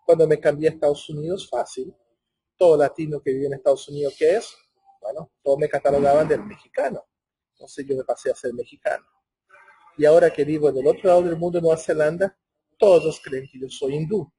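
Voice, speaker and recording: Man, Portuguese-tinged Spanish accent, very husky, mellow tone, dry close sound, webinar presenter. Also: on the high side, a little fast, dry recording. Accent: Brazilian Portuguese-tinged Spanish. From Chile. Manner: conversational, many restarts and self-corrections. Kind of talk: technical webinar talk. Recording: muffled, hoarse-sounding office audio.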